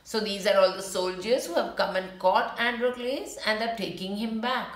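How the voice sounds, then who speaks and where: A woman's voice: medium pitch, normal speed. A woman reading a children's storybook aloud, adding simple explanations for young listeners. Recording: roomy, echoing room.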